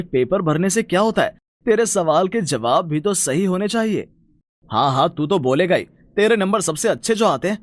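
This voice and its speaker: An actor voicing schoolboys in a cartoon, talking in a conversational, complaining way. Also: male voice, on the high side, dry recording. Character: schoolboys, one fretful, one teasing.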